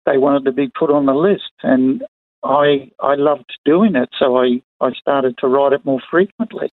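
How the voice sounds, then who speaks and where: A man's voice: medium pitch, a little slow, no echo. An older Australian man speaks as an interview guest over a narrow, phone-like line. His voice sits in the middle range and the sound is dry. He is plain-spoken and conversational, breaking his answers into short phrases. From far north Queensland, Australia. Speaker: interview guest, retired sugarcane farmer.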